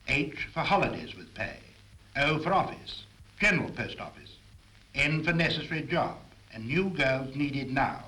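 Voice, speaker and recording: An actor playing a flustered, earnest British recruiter on the telephone in a 1940s public information film. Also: male voice, fairly high pitch, sharp tone, exaggerated voice, poor audio.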